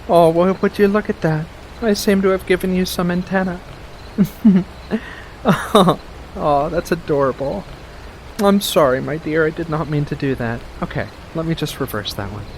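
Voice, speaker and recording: A man voicing a playful, mischievous furry witch of the woods in a soft-spoken, teasing ASMR roleplay, full of gentle endearments. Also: a little high, unhurried, slight background noise, rough recording. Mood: amused, apologetic.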